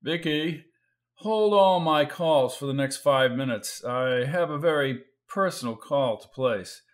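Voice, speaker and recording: A man's voice: mid-range voice, unhurried, lightly acted. A man voicing a nervous young male doctor who talks in an urgent, agitated, pressing way. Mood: curt.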